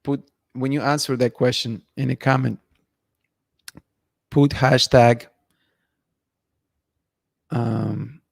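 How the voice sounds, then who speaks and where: A hoarse man in his thirties hosts a livestream from a dim room, bluntly offering opinions as he reads chat comments. He thinks aloud and restarts his sentences. His voice sits in a middle pitch, picked up close and dry by a studio mic. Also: fairly even intonation, slow pace, pauses often, dry recording. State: losing his voice, clearing throat. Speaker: livestream host. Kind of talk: casual livestream talk reading chat comments. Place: dim room at night, indoors. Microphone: studio mic in front of him.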